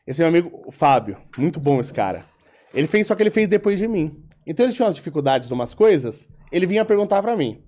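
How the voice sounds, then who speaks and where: A man's voice: on the high side, fast. A man on a casual podcast, explaining through stories and acting out the dialogue as he goes. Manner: animated storyteller, explains with stories and acts out dialogue. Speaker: podcast guest.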